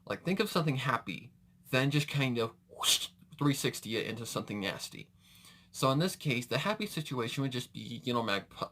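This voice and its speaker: A man's voice: medium pitch, pushed and bright. A man giving casual reaction commentary as a video host, rambling and correcting himself as he goes, with mild slangy exclamations.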